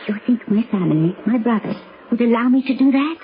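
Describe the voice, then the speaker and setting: Woman acting a high-voiced, anxious young princess. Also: animated, quick pace, lightly acted. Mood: torn, yearning. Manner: hesitant, yearning. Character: torn, fearful young island princess in love. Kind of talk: 1970s radio drama acting. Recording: tinny, noisy vintage radio recording.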